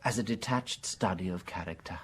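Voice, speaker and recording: An actor playing a gentle, shrewd British priest in a radio mystery drama, speaking mildly with polite hesitations. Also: male voice, low voice, fairly quick, breathy edge. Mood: musing. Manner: mild, hesitant, stammering politely.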